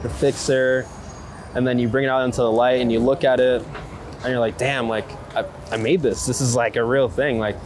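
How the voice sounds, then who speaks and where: A man with a middle-pitched voice chats in a loose, slangy, enthusiastic way, as a photographer being interviewed. He is outdoors in the city, with clear traffic and street noise around him. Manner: enthusiastic, informal, slangy with heavy use of "like". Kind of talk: casual chat, unscripted interview while out walking. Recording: loud urban street ambience, traffic noise. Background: city traffic and street ambience.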